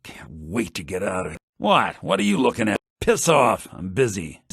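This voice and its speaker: A male actor playing a brusque, self-assured townsman in a fantasy video game, with a husky voice and a theatrical, deliberate delivery. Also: on the low side, big pitch swings, dry recording, clean studio sound, full character voice. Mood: irritable. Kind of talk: fantasy role-playing game character dialogue.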